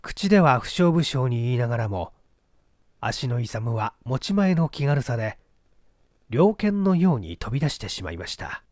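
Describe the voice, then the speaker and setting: A man narrating a literary mystery as a dramatic, measured audiobook storyteller. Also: mid-range voice, very animated, a little mellow, no echo, clean studio sound. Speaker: audiobook reader, stage performer.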